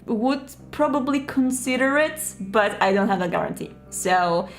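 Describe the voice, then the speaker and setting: Female host, fairly high voice, chatty and emphatic explainer delivery over faint music. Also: expressive, a little slow. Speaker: video host giving roleplay advice. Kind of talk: explanatory talk to camera. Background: background music.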